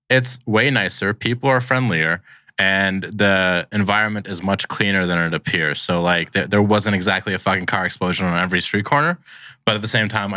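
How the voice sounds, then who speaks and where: Low-pitched young man with a bright edge. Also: dry recording. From Iraq, then Canada. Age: young adult. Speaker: podcast guest. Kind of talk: casual personal storytelling.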